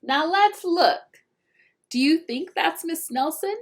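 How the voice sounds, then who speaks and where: A woman reading a children's picture book aloud expressively, pausing to put questions to young listeners. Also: very high voice, lively intonation. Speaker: read-aloud storyteller.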